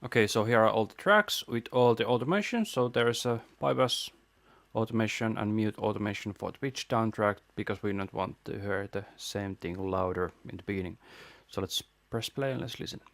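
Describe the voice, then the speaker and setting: A man presenting an audio tutorial, casually explaining a software setup step by step into a close microphone. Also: lowish voice, brisk, dry recording.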